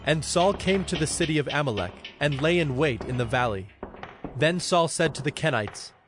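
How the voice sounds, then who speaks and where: A man's voice: on the high side, lively intonation, normal speed, a bit bright, a little hiss or hum. A man narrating a dramatized audio Bible in a formal storytelling style.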